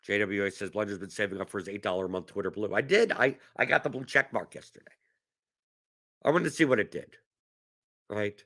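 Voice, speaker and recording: A man's voice: on the low side, a little fast, pauses often, a bit bright. A man hosting a fantasy sports strategy stream, chatting casually and answering chat questions while losing his voice to a cough, often repeating words as he restarts his thoughts.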